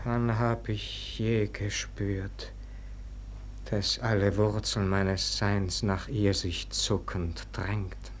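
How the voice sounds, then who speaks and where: An actor playing an anguished, self-reproaching son in a theatrical verse radio drama, moving between desperate cries and spent whispers. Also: male voice, on the low side, level tone, slow, a little hiss or hum. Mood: desolate remorse.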